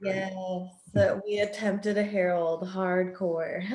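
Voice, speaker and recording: Woman host chatting casually, mid pitched voice, roomy echo on it. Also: fairly even intonation, drawn-out words, a little mellow. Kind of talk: casual chat. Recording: echoey room.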